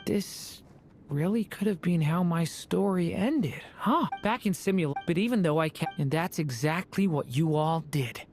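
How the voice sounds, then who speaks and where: An actor playing a gentle, youthful dragon boy in an anime video game, speaking softly and earnestly with some wistfulness. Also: medium pitch, normal speed, lightly acted. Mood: wistful. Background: faint music.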